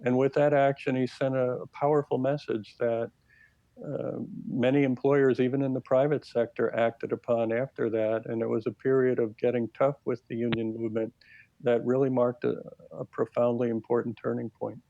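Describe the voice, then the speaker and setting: A man with a mid-range voice gives academic commentary as an interview guest. He explains history in a measured way, and the recording is dry and a little dull. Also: medium pitch, flat delivery, gravelly, dark tone, dry recording.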